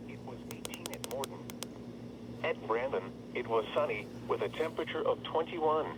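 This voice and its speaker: A man's voice: medium pitch, moderate pace. A male announcer reading a weather report, heard through a small radio speaker. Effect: played through a small radio speaker. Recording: very noisy weather radio broadcast, re-recorded.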